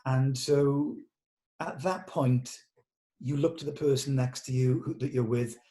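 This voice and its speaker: A man's voice: medium pitch, steady tone, unhurried, dark tone. A British man speaking informally and earnestly, like a director encouraging actors at a table read, with blunt colloquial turns. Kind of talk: informal character briefing to actors.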